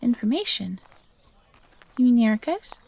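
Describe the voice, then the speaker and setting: A woman voicing a young fox girl, timid but eager and grateful, soft and breathy, in a scripted audio roleplay. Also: on the high side, sing-song, moderate pace, no echo, heavily acted. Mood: curious, helpful.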